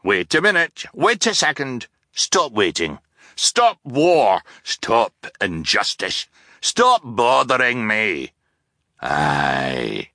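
A man voicing a cheerful, scatterbrained enormous male submarine captain who booms out contradictory orders. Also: sing-song, slow, sharp tone, no room sound, clean studio sound, exaggerated voice. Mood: cheerful, scattered. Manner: booming, blurting contradictory orders.

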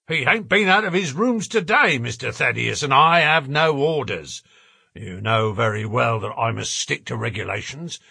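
A man voicing a gruff, blunt, burly porter and former prize fighter in an audiobook story. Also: medium pitch, lively intonation, normal speed, no echo, studio quality, full character voice. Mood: gruff, stubborn. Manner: rough working-class grammar, blunt and loud.

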